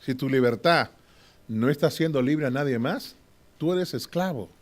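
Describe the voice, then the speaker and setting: Male Bible teacher, medium voice, animated sermon-style lecture. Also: medium pitch, normal speed. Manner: lively preaching, asks "amén o no?" to the class; swings from loud to hushed, with short laughs.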